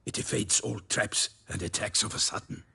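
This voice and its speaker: A man's voice: lowish voice, fairly even intonation, moderate pace, creaky, sharp tone, husky, full character voice. A man voicing a proud, chivalrous knight errant in a fantasy video game, florid and dramatic, over background noise. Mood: grave, dramatic. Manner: florid, dramatic, formal storytelling.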